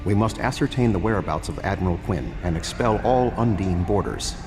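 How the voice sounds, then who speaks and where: A man playing an older, composed alien admiral in a video game, formal, measured and unemotional. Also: low-pitched, expressive, over music. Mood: calm, grave.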